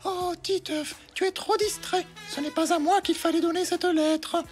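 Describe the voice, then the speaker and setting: A woman's voice: extremely high pitch, fairly even intonation, moderate pace, soft background music. A woman voicing a know-it-all young schoolgirl in a cartoon dub, theatrical and condescending. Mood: teasing, superior. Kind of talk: French cartoon dubbing.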